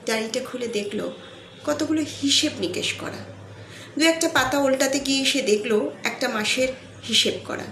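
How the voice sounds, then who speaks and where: A woman telling a sentimental motivational story in an earnest, deliberate way, pausing between phrases. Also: high-pitched, a bit bright. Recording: echoey room, faint background noise.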